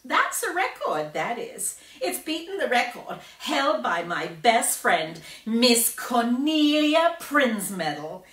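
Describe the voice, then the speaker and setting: Woman doing a bratty show-off kid from a storybook, loud n pushy, mic is far off. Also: fairly high pitch, big pitch swings, takes it slowly, hardly any pauses, pitched above the performer's own voice, full character voice. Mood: boastful, excited. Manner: loud, bragging, emphatic. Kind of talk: storybook character reading. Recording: roomy, distant microphone.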